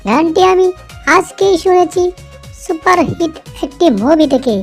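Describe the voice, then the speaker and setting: A woman's voice: very high-pitched, lively intonation, unhurried, faint music behind. A woman voicing a vain young female fox in a cartoon, showy and gushing. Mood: showy, excited. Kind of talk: cartoon voice acting.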